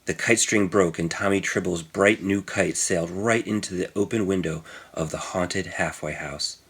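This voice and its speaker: A man's voice: deep voice, normal speed, fairly bright tone, breathy edge. A man reading a children's story aloud as scripted narration, with a theatrical, suspenseful delivery. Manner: theatrical, suspenseful delivery with emphatic sound words. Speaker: storybook reader.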